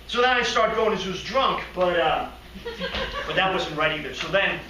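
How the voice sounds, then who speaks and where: Man acting a bright, excitable rambling hotel clerk. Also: high-pitched. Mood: wistful, musing. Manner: halting, rambling, hesitant then excitable. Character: odd rambling daydreaming young male hotel receptionist, naively earnest. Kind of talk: theatrical comic monologue performance. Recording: roomy, distant mic, faint noise.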